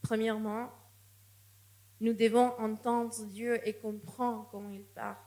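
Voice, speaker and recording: A woman's voice: on the high side, little pitch movement, measured pace, mellow. Earnest woman preaching. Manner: earnest, testimonial, with frequent restarts. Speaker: guest preacher. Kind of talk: sermon. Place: church.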